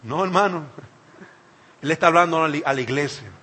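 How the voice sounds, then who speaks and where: A man's voice: a little high, a little fast, sharp tone, breathy edge. A man from the Dominican Republic, speaking with a Dominican Spanish accent, preaching an emphatic sermon and repeating phrases for effect.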